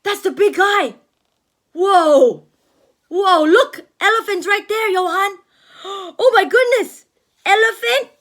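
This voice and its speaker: A woman reads a picture book aloud to a young child, gasping and asking the child questions as she goes. Her voice sits high, and she speaks very slowly and deliberately. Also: fairly bright tone. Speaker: teacher reading aloud.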